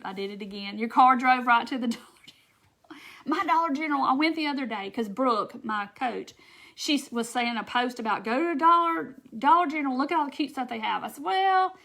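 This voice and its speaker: Woman, Southern US accent, bright, slightly high, casual chatty crafter, reads comments aloud. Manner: chatty, folksy, laughs often, addresses viewers by name. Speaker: craft live-stream host. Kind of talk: casual chat reading viewer comments.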